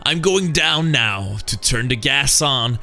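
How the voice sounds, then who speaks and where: A man's voice: mid-range voice, animated, slow, vocal fry, pushed and bright, soft background music, heavily acted. A man voicing a gloating, murderous laboratory assistant villain in a comic-book drama, with a sinister cackling manner. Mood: gloating menace.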